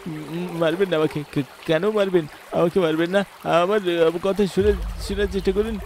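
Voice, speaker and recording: A man's voice: high-pitched, steady tone, normal speed, darkish tone, slight background noise. A man playing a poor, earnest village fisherman and family man in a horror cartoon. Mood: terrified. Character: poor, hopeful village fisherman, husband and father.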